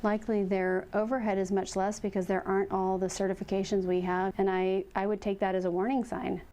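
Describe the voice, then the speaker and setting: A woman surgeon speaks in a measured interview style. Her voice is mellow and medium in pitch, with a little noise in the background. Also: level tone.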